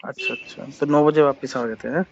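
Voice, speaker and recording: A man with a slightly raised pitch questions the other party on a phone call, politely but persistently. His voice comes through dull and muffled, as captured over a phone line. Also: lively intonation, fairly quick, gravelly, a little mellow, slight background noise. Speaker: phone caller. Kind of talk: tense phone conversation.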